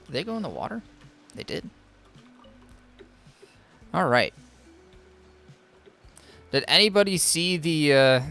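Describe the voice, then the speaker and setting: A man's voice: medium pitch, fairly bright tone, clean tone. A man live-streaming a game, giving casual advice and answering chat in a rambling way full of fillers and restarts. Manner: answers viewer chat between gameplay. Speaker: streamer reviewing viewer game accounts.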